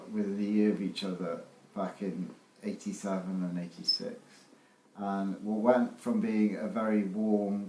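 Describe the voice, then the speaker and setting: Man, 30s, low warm tone, wry and candid; roomy sound. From West Wales. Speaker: interviewee. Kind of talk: personal recollection. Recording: roomy recording with faint music.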